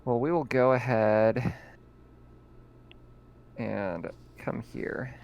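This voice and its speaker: A man with a gravelly, slightly creaky voice runs a science-fiction tabletop game over voice chat. He talks in a relaxed, easygoing way, with plenty of ums and small laughs between lines. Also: medium pitch, drawn-out words, a little hiss or hum, low-quality recording. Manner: relaxed, laughs often, many fillers. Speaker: game master.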